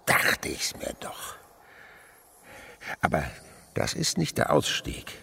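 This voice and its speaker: An actor playing an older naturalist uncle in a radio play, eager and tender, explaining with hushed wonder. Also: male voice, slow pace. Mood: hushed, absorbed. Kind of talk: German radio play acting.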